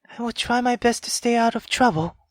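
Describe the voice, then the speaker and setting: A man voicing a timid young girl in a visual novel, speaking softly and apologetically. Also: very high voice, a little fast, dry recording, clean studio sound, pitched above the performer's own voice. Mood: meek pleading. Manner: soft, pleading and apologetic.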